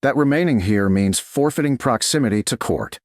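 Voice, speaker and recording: A man voicing a stern, reserved duke in a romance story, calm, formal and terse. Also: mid-range voice, moderate pace, dry recording, studio quality, natural voice. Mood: quiet, probing.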